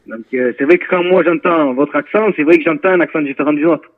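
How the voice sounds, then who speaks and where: A man's voice: a little high, quick pace. Marseille-accented man on a tinny phone line. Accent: Marseille French. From Marseille, France. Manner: informal, repetitive, peppered with southern slang tags. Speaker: rapper, interview guest. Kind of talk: casual interview chat.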